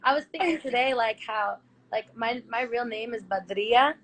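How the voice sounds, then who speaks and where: A woman hosts a casual chat over a video call, her voice sitting a little high. She talks in quick, slangy bursts and laughs readily. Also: crowd noise, rough recording. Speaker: host.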